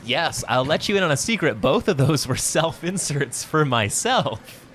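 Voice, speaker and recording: Man, improv comedy, playful, swooping animated pitch, faint background noise. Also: normal speed. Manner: playful, breaks into laughs, drops to low somber tones.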